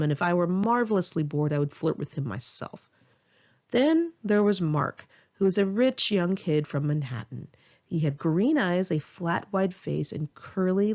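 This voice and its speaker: A woman's voice: lowish voice, darkish tone, highs cut off. A woman reading her own memoir aloud in an informal audiobook style, candid, wry and conversational. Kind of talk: informal first-person audiobook narration with asides.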